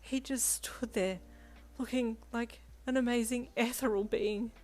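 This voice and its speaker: A woman's voice: a little high, steady tone, normal speed, voice pushed up, lightly acted. A woman voicing a gushing, lovestruck female shop clerk and obsessed fan in a fan-fiction audio story, dramatic and breathless. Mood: dreamy.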